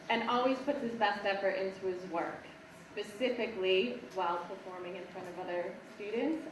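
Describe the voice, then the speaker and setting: A woman teacher giving brisk, lightly teasing praise to students at a school assembly. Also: medium pitch. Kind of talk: award speech at a school assembly. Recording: echoey hall, distant mic, background noise.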